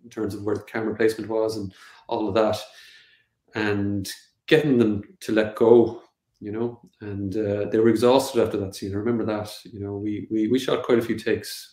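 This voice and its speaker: A man's voice: on the low side, darkish tone. A man in his thirties with an Irish accent, a native Irish speaker, answering interview questions on a video call with a lot of hesitation and restarts. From Connemara, west of Ireland. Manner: hesitant, many fillers and restarts. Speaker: film director. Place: home room, seated on a sofa. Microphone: video call webcam. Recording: echoey room.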